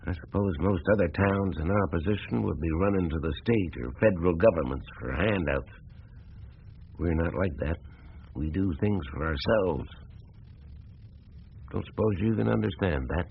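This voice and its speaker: Older American small-town businessman, very gravelly, animated, homespun, proud, radio play, slightly muffled sound. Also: low-pitched, moderate pace, pauses often, slight background noise. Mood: proud, nostalgic. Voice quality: gravelly, husky. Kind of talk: radio drama acting.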